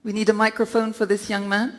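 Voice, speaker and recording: A woman moderating a live Q&A, briskly passing the floor and reading out submitted questions. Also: medium pitch, little pitch movement, a bit bright, a little hiss or hum. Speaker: event moderator. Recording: long reverb of a large hall.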